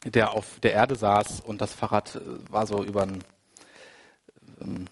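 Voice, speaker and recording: A man with a cold preaching a sermon, conversational and full of asides, moving between quiet, reflective passages and emphatic, rising ones. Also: on the low side, flat delivery, unhurried. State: has a cold. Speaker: pastor. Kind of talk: sermon with personal anecdote.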